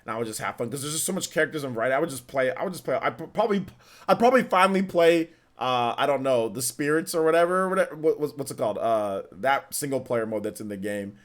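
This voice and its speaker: A man's voice: mid-range voice, animated, fast talker. A young man hosting a live stream, chatting casually, answering viewer questions and rambling with many repeats and restarts. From California.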